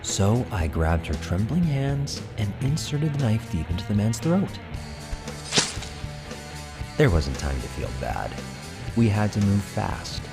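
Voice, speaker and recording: A man playing a brooding, manipulative young gang leader, narrating his dark story in a cold, deliberate, dramatic way. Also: on the low side, sing-song, vocal fry, full character voice. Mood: cold, menacing. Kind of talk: scripted dramatic first-person narration. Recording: long reverb, loud music bed.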